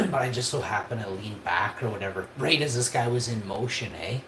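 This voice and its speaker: A man's voice: medium pitch, expressive, brisk, reverberant, noisy background. A man, telling a rambling personal story on a livestream in a casual, chatty way. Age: 40s. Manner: casual and chatty, with frequent tag questions and restarts.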